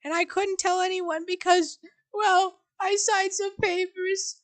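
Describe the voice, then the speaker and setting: A woman voicing a frail, defensive elderly mother in a casual reading of a screenplay, with a high quavering wail. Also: level tone, slow. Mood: wistful.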